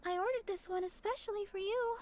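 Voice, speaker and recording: A woman playing a sweet, humble young deaconess and songstress in a fantasy game, speaking gently, earnestly and apologetically. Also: extremely high pitch, a little fast, slight background noise. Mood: apologetic. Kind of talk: animated game voice acting.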